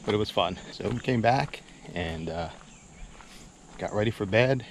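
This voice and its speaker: Man, lower pitch, casual vlog chat, laid-back, rambling, campground setting, faint insect and outdoor noise. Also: a little slow, vocal fry. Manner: laid-back, rambling, chuckles while talking. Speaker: vlog host.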